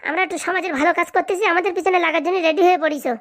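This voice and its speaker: A woman's voice: extremely high pitch, steady tone, racing, fairly bright tone. A woman voicing a brash, argumentative village oil seller in a comic cartoon, with a rural Bengali dialect and sarcastic back-talk. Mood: indignant.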